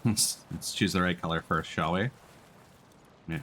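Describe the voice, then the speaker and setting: A man chatting casually as he live-streams a game, musing aloud in an unhurried way. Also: low voice, animated, creaky, fairly bright tone, a little hiss or hum.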